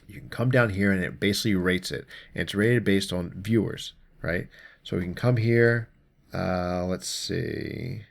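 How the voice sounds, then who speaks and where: Deep-voiced man with a gravelly creak. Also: measured pace. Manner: informal, conversational, mildly profane. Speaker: host of an explainer show. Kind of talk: casual news commentary, reading articles aloud.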